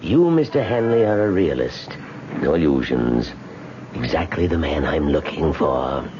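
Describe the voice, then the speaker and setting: A man's voice: a bass voice, sing-song, measured pace, exaggerated voice. An actor playing a suave, enigmatic, wealthy old man with formal, precise speech in an old radio drama. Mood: amused, assured. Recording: noisy, dull old radio recording.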